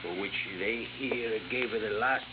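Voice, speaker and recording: An actor playing a humble old Italian museum worker in a radio drama, with halting, earnest broken English. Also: male voice, lowish voice, close to an everyday voice. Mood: humble, solemn. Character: humble, devoted old male museum worker, excitable when accused. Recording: tinny, noisy old radio recording.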